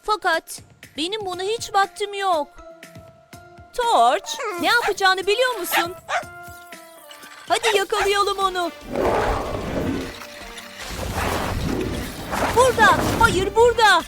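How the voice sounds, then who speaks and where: A woman's voice: very high voice, unhurried, long pauses. A woman voicing a cheerful, friendly young boy ranger in a children's cartoon dub, eager and playful. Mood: earnest, eager. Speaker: main character of a children's cartoon. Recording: music under the voice.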